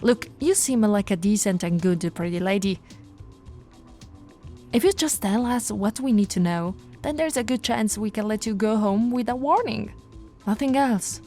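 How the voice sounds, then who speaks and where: A woman brings to life a sly, no-nonsense policewoman in an audio roleplay, with a voice of ordinary pitch for a woman. She moves between crisp commands and a low, teasing coax. Faint music plays behind her. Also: normal speed, lightly acted. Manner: teasing, coaxing interrogator, alternating firm and soft. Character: flirty, no-nonsense female police officer. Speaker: voice actress. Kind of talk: ASMR roleplay.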